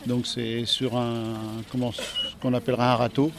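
A man's voice: medium pitch, little pitch movement, moderate pace, over music. Hesitant Frenchman talking about arrows. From France. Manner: hesitant, many fillers, plain-spoken. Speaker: archery club captain and arrow maker. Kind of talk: casual interview answers.

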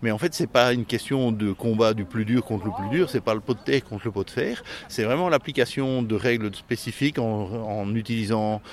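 A man answering interview questions and explaining technique in careful, technical detail, often restarting mid-phrase. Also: lowish voice. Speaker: flint-knapping expert.

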